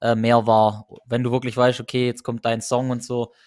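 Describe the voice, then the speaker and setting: A man with a regional German dialect hosts a podcast in a casual chat. His voice sits at a middling pitch, and he talks in an easygoing, hesitant way with loosely built sentences. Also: level tone, moderate pace, a little mellow, smooth. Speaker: podcast host.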